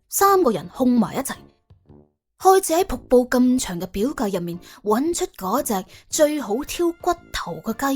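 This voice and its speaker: High, lively Cantonese woman storyteller. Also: husky. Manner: wry, colloquial, exclamatory. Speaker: audiobook narrator. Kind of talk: dramatic scripted storytelling.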